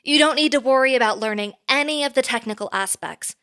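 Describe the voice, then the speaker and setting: Bright-voiced, poised, formal boss. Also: female voice, sits high, smooth. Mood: businesslike. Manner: measured, precise, formal. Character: poised, formal, politely earnest female boss interviewing an applicant. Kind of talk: audio drama acting, conversational.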